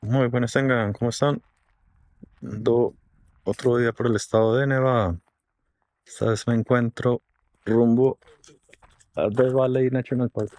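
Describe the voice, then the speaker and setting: A man with a hoarse voice vlogging casually outdoors in a desert park, chatting and thinking aloud to his viewers. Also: mid-range voice, fairly even intonation, measured pace, pauses often, darkish tone. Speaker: travel vlogger.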